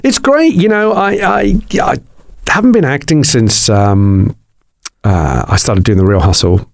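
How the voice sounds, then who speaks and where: A man's voice: mid-range voice, expressive, measured pace, creaky. A man who is a guest on a podcast and works as a TV presenter and journalist, talking in a rambling way with many restarts and fillers. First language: Greek. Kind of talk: casual interview chat.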